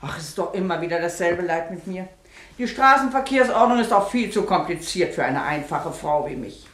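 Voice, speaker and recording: A woman's voice: fairly low pitch, lively intonation, brisk, echoey room, close to an everyday voice. An actress playing a plaintive, helpless-sounding woman in a radio comedy, self-deprecating and simpering. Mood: plaintive, coy. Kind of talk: German radio comedy acting.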